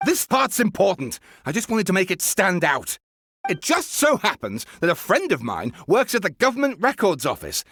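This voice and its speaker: Theatrical eccentric writer. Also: male voice, high-pitched, moderate pace, husky, dry recording, full character voice. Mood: eager. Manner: theatrical, grandstanding, excitable. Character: eccentric showy male writer and researcher. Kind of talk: video game voice acting. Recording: game cutscene with background music.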